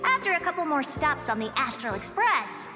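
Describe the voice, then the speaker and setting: A woman's voice: high-pitched, big pitch swings, normal speed. A woman voicing a self-assured, casually teasing genius scientist in a video game, breezy and confident. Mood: breezy, knowing. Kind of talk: video game character acting. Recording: long reverb under clear music.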